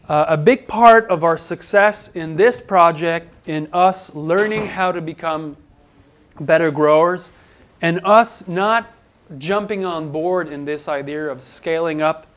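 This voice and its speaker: A middle-aged man, giving a relaxed conference talk with fillers. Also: fairly high pitch. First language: French. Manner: relaxed, conversational, with fillers and restarts. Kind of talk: conference presentation. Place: large indoor hall with audience. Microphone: handheld mic, far camera.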